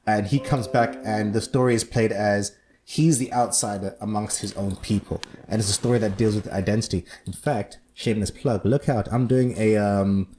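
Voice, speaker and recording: A man's voice: lowish voice, fairly even intonation, normal speed, warm tone, slight background noise. A man from Zambia hosting a casual podcast discussion, explaining at length and animatedly. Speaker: podcast host.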